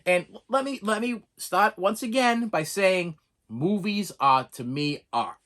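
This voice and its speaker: A man's voice: high voice, animated, a little slow, a bit bright. A middle-aged man with a Boston accent, talking to camera in a rambling, chatty review monologue, often repeating and restarting words and laughing. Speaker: movie review vlogger.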